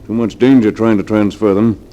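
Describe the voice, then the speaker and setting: An actor in an old-time radio drama playing a ruthless, scheming rich businessman, smooth and coolly matter-of-fact. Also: lowish voice, steady tone, a little fast, mellow, muffled recording, lightly acted. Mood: coldly menacing.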